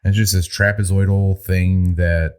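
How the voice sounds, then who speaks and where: A man's voice: deep voice, little pitch movement, a little slow, darkish tone, clear, resonant tone. A man co-hosting a podcast, recapping a news article in a laid-back, casual way, with some swearing and frequent laughter. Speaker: podcast co-host. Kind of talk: casual chat, reading news.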